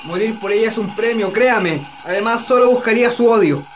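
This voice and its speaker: Guy acting as young chilean farm lad, really high voice, earnest pleading kind of respectful, radio drama. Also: a little fast, lightly acted. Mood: defiant, earnest. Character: earnest, defiant young peasant in love.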